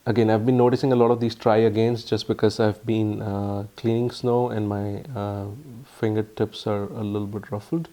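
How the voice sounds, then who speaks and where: A man with an Indian accent giving a casual, halting phone review full of ums and uhs. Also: on the low side, flat delivery, mellow. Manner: earnest, explanatory. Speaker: tech reviewer.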